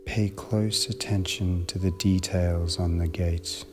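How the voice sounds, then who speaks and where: A man narrating a guided meditation in a measured, soothing manner with long pauses between short phrases. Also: low voice, steady tone, over music.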